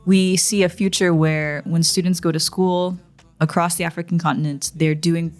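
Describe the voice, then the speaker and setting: A woman guest in an interview, speaking in a measured, thoughtful way. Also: lowish voice, steady tone, soft background music. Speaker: guest, education founder. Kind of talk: interview conversation.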